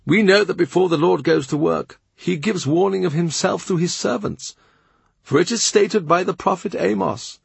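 A man's voice: high-pitched, moderate pace, vocal fry, slightly rough, no echo, voice pushed up, heavily acted. A man voicing a frail, elderly male bishop who speaks mildly and sadly in his own defence. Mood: mild, earnest. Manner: mild, pleading, sad. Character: frail old male bishop, mild and stubborn. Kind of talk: audiobook character reading.

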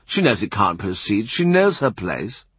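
A man plays a cold, smooth diplomat who picks each word with care and pauses with discretion. The voice is husky and close to the reader's own. The pitch rises and falls freely and the sound is dry. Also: sing-song, a bit bright, dry recording, heavily acted. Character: cool, smooth, evasive male diplomat.

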